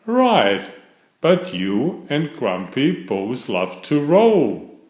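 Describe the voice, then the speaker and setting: A man's voice: medium pitch, wildly swooping pitch, extremely slow, darkish tone, exaggerated voice. A man voicing an eager, helpful male cat detective from a children's storybook, upbeat, emphatic and reassuring. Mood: excited, confident. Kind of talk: children's storybook character reading. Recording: echoey room.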